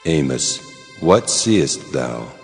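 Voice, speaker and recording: A man's voice: very deep voice, sing-song, extremely slow, full character voice. An actor playing God as a grave, commanding male deity in a dramatized scripture reading, solemn and stately. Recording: long cavernous reverb over music.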